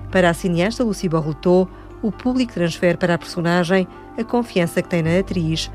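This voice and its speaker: Low-voiced woman reading a radio report. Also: brisk, a little mellow, faint music behind. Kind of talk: scripted narration.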